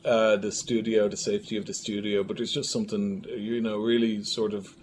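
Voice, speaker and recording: A man's voice: fairly low pitch, flat delivery, a little fast, a little mellow. A man talking casually and reflectively as a guest in an interview. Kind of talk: casual conversation. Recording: faint room noise and ambience.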